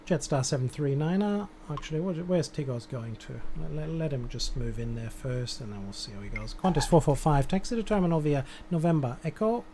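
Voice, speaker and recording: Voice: medium pitch, lively intonation, a little fast, few pauses. A streamer playing air traffic controller in a game, giving clipped standard instructions with casual asides. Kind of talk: gameplay stream, issuing radio-style instructions with casual asides.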